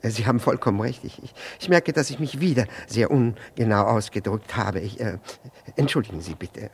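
An actor playing a flustered, over-polite jealous husband in a 1960s German radio play, stammering, repeating words and breaking off mid-sentence. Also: male voice, on the low side, moderate pace, a little hiss or hum, natural voice. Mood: nervous, apologetic.

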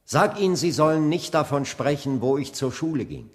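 A man's voice: fairly high pitch, animated, close to an everyday voice. An actor playing an earnest civil rights preacher in his late thirties in a German radio drama. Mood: solemn. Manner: measured, deliberate oratory when preaching. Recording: long hall reverb.

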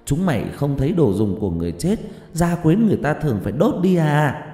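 A man's voice: expressive, normal speed, dark tone, lightly acted. A man voicing an angry, superstitious older woman who scolds her son and daughter-in-law. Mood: scolding. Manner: scolding, shrill outbursts. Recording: roomy hall reverb, background music.

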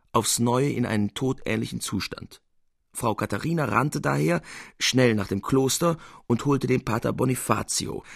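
A man narrating a literary tale expressively, with measured, theatrical emphasis. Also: medium pitch, vocal fry, breathy edge, no room sound, clean studio sound.

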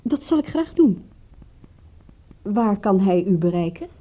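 An actress in a radio drama playing a private detective's shrewd, guarded assistant, with a dry, sardonic manner. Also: female voice, mid-range voice, very animated, measured pace. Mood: cool, polite. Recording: muffled, dull old radio recording.

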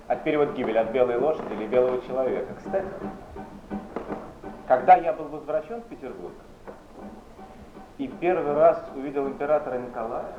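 Actor as wry brooding poet, medium pitch, natural stage delivery, echoing muffled recording, music behind. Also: male voice, lightly acted. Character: famous male poet, brooding yet wry. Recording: roomy stage, dull sound, music behind.

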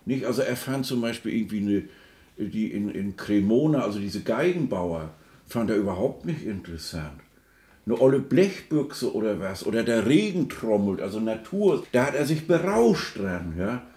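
A man's voice: fairly low pitch, sing-song, normal speed, warm tone. A man giving informal commentary, searching for his words as he goes and often checking for agreement. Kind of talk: informal spoken commentary on a composer.

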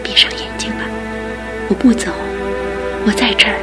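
An actress playing a tender, resolute young fiancée, speaking softly and near a whisper, in a radio drama with music behind. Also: female voice, mid-range voice, steady tone, moderate pace, close to an everyday voice. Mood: soothing. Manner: soft, soothing, often near a whisper.

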